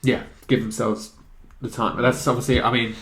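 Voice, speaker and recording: A man's voice: mid-range voice, little pitch movement, moderate pace, crowd noise. A man co-hosting a podcast, talking informally in a casual discussion and feeling his way through his phrasing. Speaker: podcast co-host. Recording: echoey room.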